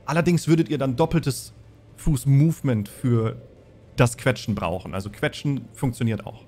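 A man's voice: mid-range voice, normal speed, a little mellow. A man acting as game master, explaining rules and narrating a tabletop role-playing game in a conversational way.

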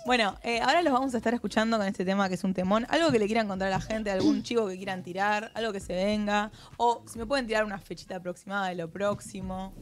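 A woman's voice: mid-range voice, a little hiss or hum. A woman in her thirties with an Argentine accent, hosting a TV interview in a studio with a handheld mic, gushing and enthusiastic. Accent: Argentine Spanish. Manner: gushing, enthusiastic, quick-talking. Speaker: TV host. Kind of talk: casual TV interview. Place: TV studio set.

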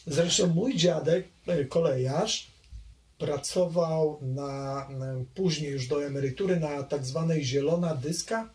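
A middle-aged man from Poland, slow and reflective, recalling his childhood in an oral history interview with many pauses. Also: on the high side, darkish tone. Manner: hesitant, reflective, trailing off.

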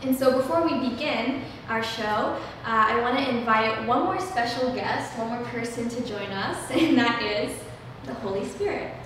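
A woman's voice: on the high side, moderate pace, fairly bright tone. An eighteen-year-old woman hosting a casual discussion in a church room, talking earnestly with frequent "um" fillers. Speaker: host. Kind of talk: casual discussion, leading a prayer. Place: church room, small group at a table. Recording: echoey room, heard from a distance, background noise.

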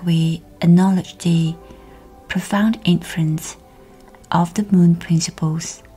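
A woman with a slightly low voice leads a guided meditation very slowly. Her delivery is soft and breathy, often close to a whisper, and soothingly measured. Music plays behind her. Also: low-quality recording. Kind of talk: guided meditation, scripted narration. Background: background music.

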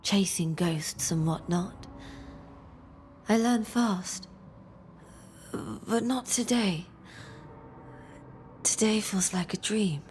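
A woman's voice: mid-range voice, extremely slow, pauses often, darkish tone, slight background noise. A woman playing a dreamy, fragile, lonely woman in a dramatic game, wistful and drifting in her delivery.

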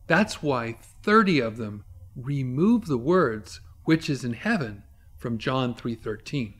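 Male Bible teacher, 50s. Fairly high voice, very lively intonation, emphatic delivery with pauses for effect. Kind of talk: preaching to camera, explanatory.

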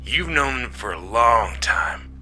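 A man acts out a spiteful, mutinous spaceman in a science fiction drama. He is sardonic and goading, with a sharp, forceful edge. Faint music runs behind. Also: medium pitch, big pitch swings, slow pace, full character voice. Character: bitter, mutinous male spaceman, taunting and spiteful.

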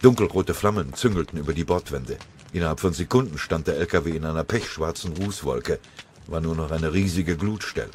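Deep male narrator reading a dramatic war audiobook, faint battle effects behind him. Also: a little fast, noisy background.

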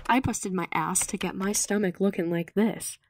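A candid high school girl read out by a woman, self-assured and casual. She confides plainly in an audiobook recording with faint noise. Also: moderate pace, lightly acted. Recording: slightly noisy home recording.

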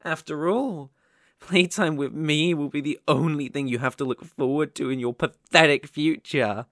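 A man playing a sadistic, obsessive young kidnapper in an ASMR roleplay, gloating with menacing, mocking, drawn-out phrasing. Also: a little high, fairly bright tone, no room sound, clean studio sound. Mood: condescending.

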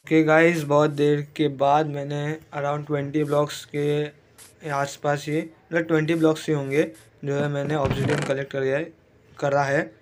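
A man, hoarse from a cold, chatting casually as he narrates his own game play and mixes English words into Hindi. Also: fairly high pitch, little pitch movement, moderate pace, a little hiss or hum. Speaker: gaming YouTuber.

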